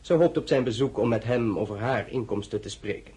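A picky, haughty buyer for a fashion house in a radio play. His voice is very husky and breathy, with a mellow tone and a condescending, reluctant manner. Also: mid-range voice, a little fast. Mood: fussy, dismissive. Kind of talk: radio drama acting.

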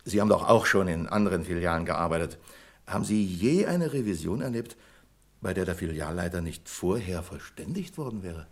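An actor playing a self-important, fussy and suspicious bank branch manager in a German radio play, with a pompous, officious manner. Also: male voice, low voice, animated, brisk. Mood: confiding, suspicious. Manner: pompous, officious, delegating with relish.

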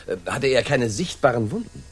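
An actor playing a detective's loyal, eager and puzzled companion in a 1960s German radio drama, questioning and slightly stammering. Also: male voice, medium pitch, big pitch swings, normal speed, breathy edge. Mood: puzzled, curious.

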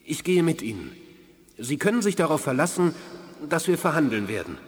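Proud captive lizard alien officer, highish voice, clipped and formal, radio play, echoing hall. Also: male voice, sing-song, fairly quick. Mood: guarded resolve. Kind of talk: 1980s German radio drama acting. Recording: long hall-like reverb.

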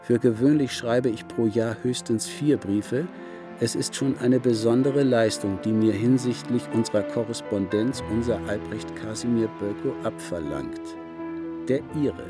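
An actor playing a formal, courteous and slightly fussy music correspondent, reading letters aloud in a radio play. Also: male voice, medium pitch, a little slow, close to an everyday voice. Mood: courteous, pedantic.

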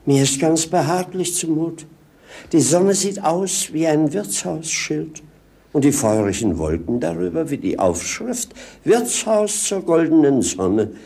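A man's voice: a little high, big pitch swings, unhurried, creaky, warm tone, breathy edge, exaggerated voice. An actor in a 1950s German radio drama playing a jolly, wine-loving, mocking servant, theatrical and savouring his words. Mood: contented, grandiose.

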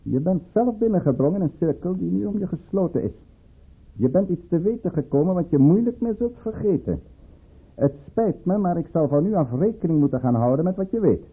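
A man's voice: sits high, animated, brisk, slightly rough, exaggerated voice. A man acting in a Dutch radio drama as a scheming governor at the tsarist court, speaking in a formal, coldly commanding way. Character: scheming, menacing male governor and aristocratic lover at tsarist court. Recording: muffled, tinny old radio play recording.

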